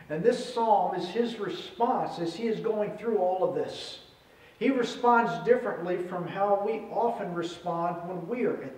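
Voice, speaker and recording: A middle-aged man preaching a sermon as a pastor, with measured delivery in short phrases. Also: high-pitched, a little mellow. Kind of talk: sermon with scripture reading. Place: indoors at a church pulpit. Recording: roomy echo, distant microphone.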